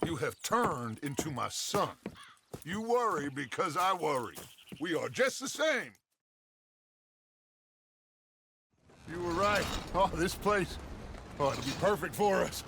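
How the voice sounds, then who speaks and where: An actor playing a theatrical, eloquent middle-aged outlaw gang leader with an American accent in a cinematic video game. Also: male voice, fairly high pitch, sing-song, unhurried, background noise. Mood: weary, persuasive. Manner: grandiloquent, persuasive, orating.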